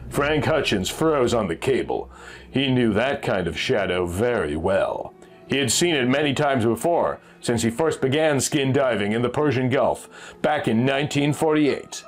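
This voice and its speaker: A man reads pulp adventure fiction aloud in a measured, suspenseful storytelling manner. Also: mid-range voice, lively intonation. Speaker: narrator reading a vintage magazine story. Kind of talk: dramatic scripted narration of pulp adventure fiction. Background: background music.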